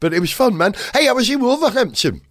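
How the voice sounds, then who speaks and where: A man hosting a podcast, his voice gravelly and husky from a weekend of singing, chatting in an animated, storytelling way. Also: extremely high pitch, quick pace, no room sound, studio quality. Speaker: podcast host. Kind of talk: casual podcast chat.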